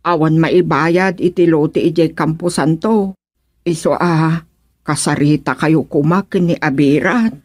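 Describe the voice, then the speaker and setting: A woman's voice: sits low, steady tone, unhurried, a little mellow, no echo, clean studio sound. A woman acting in a radio drama comedy as a recently widowed village woman, exasperated and pleading, with an Ilocano accent.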